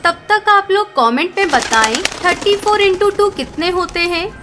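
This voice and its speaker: A woman narrator reads a scripted children's tale in an extremely high voice. There is clear noise and ambience behind the voice. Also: expressive, normal speed.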